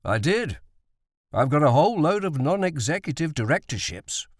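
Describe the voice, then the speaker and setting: A man voicing a gentle, modest father in an audiobook, measured and reassuring. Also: a little high, sing-song, no room sound, studio quality.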